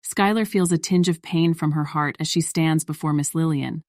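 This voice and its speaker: A woman's voice: low voice, normal speed, darkish tone, dry recording, studio quality. A woman reading scripted story narration in the role of narrator.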